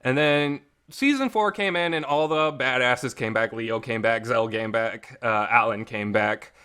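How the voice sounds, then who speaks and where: A man's voice: mid-range voice, measured pace, pushed and bright, smooth. A man giving a casual, unscripted review as host, talking emotionally and emphatically with some mild swearing. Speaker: host of a visual novel review show. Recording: reverberant amateur home recording.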